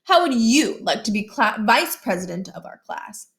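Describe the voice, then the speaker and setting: A woman's voice: a little high, sing-song, moderate pace. A smug boy bully played by a woman from a children's book. He carries himself like a slick little politician, pompous and falsely polite, with menace underneath. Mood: smooth, smug. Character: smug schoolboy bully posing as a smooth politician.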